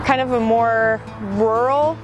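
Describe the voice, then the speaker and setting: A woman's voice: on the high side, steady tone, slow, over music. A woman from Utah with an American accent, answering casually in an interview. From Utah, USA.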